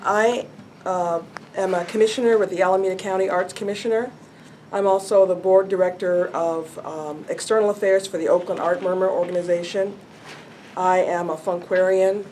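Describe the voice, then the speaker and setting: A woman's voice: lowish voice, a little hiss or hum. An older woman, an arts commissioner, speaking earnestly and emphatically at a city hall community meeting. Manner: emphatic, earnest, self-described loud voice. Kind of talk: public meeting statement.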